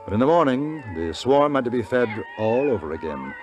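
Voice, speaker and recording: An actor playing a polite gentleman traveler in a 1950s radio Western, narrating and speaking in a courteous, dry, understated way. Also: mid-range voice, sing-song, brisk, dull audio, music in the background, natural voice. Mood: wry, narrating. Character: polite English gentleman traveler and wry storyteller.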